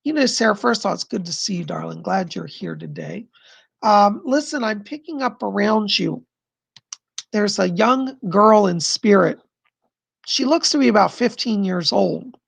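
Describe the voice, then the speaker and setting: A man hosting a live stream of psychic readings, answering viewer questions in an effusive, chatty way and pausing to listen to spirits. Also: high voice, expressive, creaky, husky, no room sound. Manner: pauses to listen to spirits mid-sentence.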